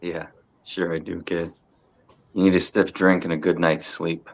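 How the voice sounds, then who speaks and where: A man reading the part of a tough, watchful, gruffly protective uncle from a crime family at a film table read. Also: sits low, flat delivery, measured pace, slight background noise. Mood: calm, reassuring. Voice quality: gravelly.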